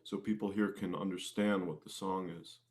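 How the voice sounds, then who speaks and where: A man's voice: low-pitched, steady tone, normal speed, a little mellow. A man talking casually as an interview guest, restarting and repeating phrases as he explains things. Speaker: guest, singer-songwriter and musician.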